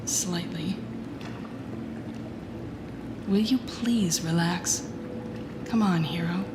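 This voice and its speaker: A woman playing a confident, teasing telepathic villain in an intimate audio roleplay, speaking softly and often near a whisper in a soothing, guiding way. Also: medium pitch, noisy background, lightly acted. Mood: teasing, flirtatious. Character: teasing, confident female telepathic villain coaching a rookie hero. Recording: echoey indoor pool sound, music behind. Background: music.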